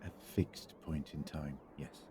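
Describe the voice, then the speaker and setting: A man's voice: a bass voice, steady tone, measured pace, noisy background. A man playing a weary, sombre time traveller in an audio drama, speaking in a grave, reflective way. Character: weary, sombre time-travelling male hero, burdened by guilt.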